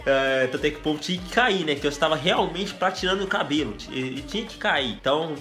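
A young man from Brazil talks fast and excitedly to his viewers from a small room at home. His voice is bright and slightly high, and it sounds stuffy from a cold. Faint music plays underneath. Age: young adult. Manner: excitable, laughing, slangy. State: congested with a cold. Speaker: gaming youtuber. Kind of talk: casual vlog chat.

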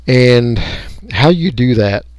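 An American man giving a casual, unscripted software walkthrough, folksy and rambling as he thinks aloud. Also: mid-range voice, animated, takes it slowly, clean tone, dry recording, rough recording. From United States. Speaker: radio hobbyist giving a software tutorial.

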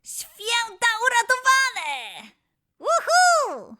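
A woman voicing an eager, kind-hearted young lion cub in a children's audio story, with expressive, emphatic delivery. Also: extremely high pitch, very slow, music in the background, heavily acted. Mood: excited.

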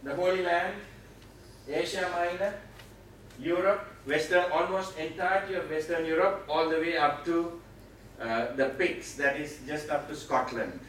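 A middle-aged Sri Lankan man teaches a Bible session in a fairly high voice, with an emphatic, explanatory delivery. He speaks in a very reverberant meeting room, picked up from a distance with some faint noise. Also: a little slow, a bit bright. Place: meeting room with seated audience, indoors. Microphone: far camera, speaker at a mic stand. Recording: very echoey room, distant pickup, noisy.

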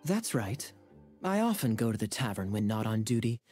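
A man's voice: lowish voice, very animated, moderate pace, darkish tone, husky, faint music behind. A man voicing a suave, wry young knight captain in a video game. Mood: easygoing.

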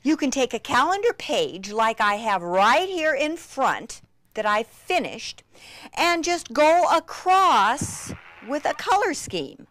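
An excitable woman presents a craft demonstration with gushing enthusiasm. She takes her time over the words, dropping into breathy, near-whispered emphasis. The sound is dry, with little room around the voice. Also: sits high, slow pace, no room sound, poor audio.

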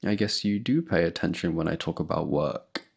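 A man's voice: low-pitched, expressive, normal speed, creaky, breathy edge. A young man in a boyfriend roleplay, talking to a girlfriend in a playful, laughing, affectionate way, close to the mic.

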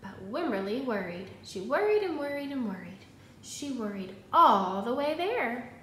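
Woman, 30s, primary teacher reading to young kids; high-pitched, expressive delivery, slightly noisy roomy sound. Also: a little slow. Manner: expressive, instructive, prompting listeners with questions. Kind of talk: picture-book read-aloud for young children. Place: indoors in a room at home. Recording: roomy echo, faint background noise.

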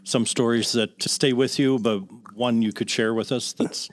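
A man's voice: mid-range voice, steady tone, unhurried, no room sound. A man hosting a podcast, putting conversational questions to a guest. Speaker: podcast host.

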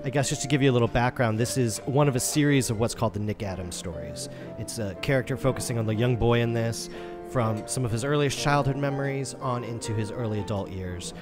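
A man's voice: mid-range voice, a little fast, music in the background. A man hosting a podcast, talking conversationally about literature. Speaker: podcast host.

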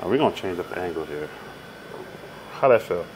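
A man's voice: deep voice, lively intonation, a little fast. A middle-aged man working as a bodywork practitioner, chatting casually and giving short instructions to a client. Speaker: chiropractic practitioner. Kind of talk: casual chat and session instructions. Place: large indoor treatment studio. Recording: noisy room ambience.

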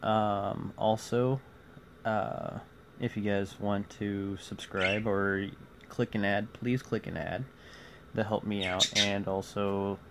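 Slow, halting man with a lowish voice. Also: fairly even intonation. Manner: halting, rambling. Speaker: vlogger. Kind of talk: casual vlog chat to viewers. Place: small cluttered room indoors. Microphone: phone at arm's length. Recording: noisy phone recording, clear background noise.

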